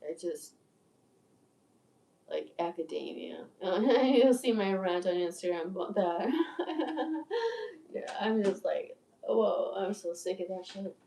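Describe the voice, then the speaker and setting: A woman's voice: medium pitch, expressive, takes it slowly, long pauses, warm tone. A woman in her sixties rambling through a candid, profane monologue in a livestream, with stammering restarts. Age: 60s. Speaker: video creator. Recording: echoey room, distant from mic.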